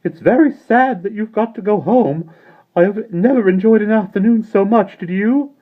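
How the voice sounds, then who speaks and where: A man voicing a jolly, warm-hearted old gentleman who exclaims breathlessly with mirth. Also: high-pitched, normal speed, pitched above the performer's own voice. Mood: wistful. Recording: dull lo-fi recording.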